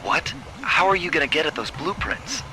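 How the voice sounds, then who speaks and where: An actor playing an easygoing, quick-witted young thief in a video game, speaking casually with dry confidence. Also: male voice, a little high, very animated, rough recording. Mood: skeptical. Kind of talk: video game cutscene acting.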